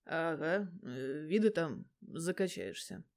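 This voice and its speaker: A woman's voice: deep voice, expressive, slow, creaky, darkish tone, pitched below the performer's own voice, full character voice. A woman voicing a blunt, grudging police major, a man in his thirties, in an audio story. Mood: awkward, halting.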